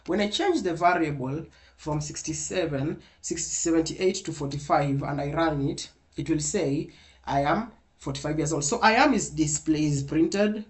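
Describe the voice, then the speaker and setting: Coding teacher, mid-pitched, patient, repeats each step; online class on a video call. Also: normal speed. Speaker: programming instructor.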